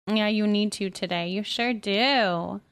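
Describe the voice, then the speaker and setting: A woman's voice: mid-range voice, measured pace, fairly bright tone, smooth. A woman hosting a casual live stream, reading out and answering viewer messages in an affectionate, reassuring way. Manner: affectionate, addresses listeners with endearments.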